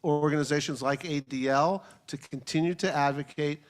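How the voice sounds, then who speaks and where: A man answering questions on a panel, measured and diplomatic. His phrasing is formal and careful. His voice sits somewhat high for a man. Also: fairly even intonation. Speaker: advocacy organization representative on a panel. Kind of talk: panel answers at a public forum.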